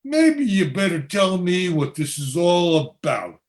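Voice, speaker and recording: A woman's voice: lowish voice, sing-song, a little slow, a little mellow. A woman playing a tired, skeptical, plain-spoken husband in a radio play. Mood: reassuring, skeptical. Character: tired, grumbling, skeptical working husband Sam. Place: home, over a video call.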